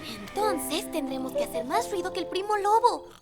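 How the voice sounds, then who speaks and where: A small, sweet girl animal from a children's cartoon. The voice is very high and pleads with little exclamations. Music is loud behind it. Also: animated, moderate pace. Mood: concerned, hopeful. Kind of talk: cartoon voice acting.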